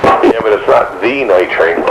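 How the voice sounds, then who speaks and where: A man's voice: lowish voice, expressive, unhurried, gravelly, very noisy. A man trading casual banter over a two-way radio.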